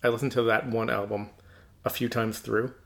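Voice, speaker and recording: Man, gravelly voice, casual podcast chat, rambling, many fillers. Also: mid-range voice, flat delivery, moderate pace. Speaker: podcast co-host. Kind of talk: casual chat about heavy metal.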